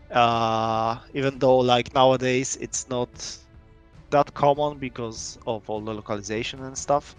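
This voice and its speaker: A man's voice: fairly low pitch, fairly bright tone. A young man from Poland with a Polish accent, English not his first language, showing his indie game on a live stream and talking in a loose, rambling way with many restarts. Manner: rambling, lots of fillers and restarts.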